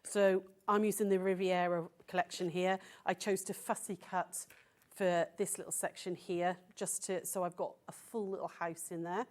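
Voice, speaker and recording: A woman with a croaky voice from a cold, demonstrating quilting step by step on a live show. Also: lowish voice, little pitch movement, moderate pace, darkish tone. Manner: patient step-by-step instruction with frequent "okay?" checks. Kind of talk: sewing demonstration. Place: TV studio.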